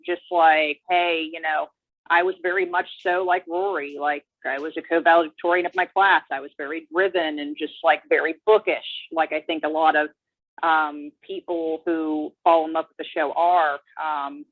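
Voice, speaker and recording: A woman's voice: fairly low pitch, no echo. A woman in her thirties chatting casually on a video call, rambling with lots of fillers and restarts. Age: 30s. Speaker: viewer joining a watch-along chat. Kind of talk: casual chat. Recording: tinny phone-like dry line.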